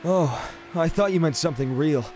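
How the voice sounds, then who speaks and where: A man's voice: on the high side, moderate pace, darkish tone, soft background music, close to an everyday voice. A man playing a sullen young prince in a fan audio drama, offhand and sarcastic. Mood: sullen, irritated.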